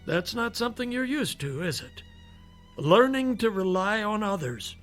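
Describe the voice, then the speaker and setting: An actor playing a skeptical, fatherly doctor in a radio drama, speaking in a measured, patronizing way and coaxing with rhetorical questions. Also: high-pitched, very animated, a bit bright, soft background music. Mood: firm persuasion. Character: male doctor, persuasive, skeptical, fatherly toward his patient.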